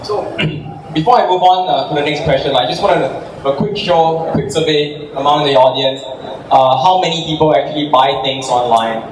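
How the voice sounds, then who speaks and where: A man's voice: fairly high pitch, normal speed. Guy hosting a meetup interview, informal and cheeky, really echoey, mic far off. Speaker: event host and interviewer. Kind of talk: informal live on-stage interview. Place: indoor live meetup event before an audience. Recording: echoey, noisy venue recorded from far off.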